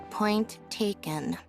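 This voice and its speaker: A deadpan young magician's assistant in a game, slow and soft-spoken. Her voice sits fairly high, with music clearly behind it. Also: full character voice. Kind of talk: English video game voice acting.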